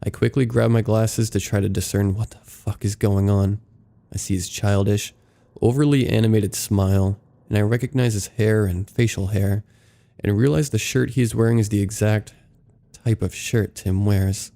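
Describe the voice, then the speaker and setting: A man narrating submitted true scary stories in a steady, low-key reading. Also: moderate pace, gravelly. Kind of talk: scripted horror story narration.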